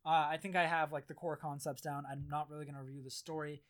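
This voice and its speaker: A hoarse man with a slightly high voice hosts a casual gaming podcast. He rambles and swears freely, and his talk is full of fillers and restarts. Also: little pitch movement, fast talker, a bit bright. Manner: rambling, filler-heavy, blunt and profane. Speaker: podcast host. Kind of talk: casual chat.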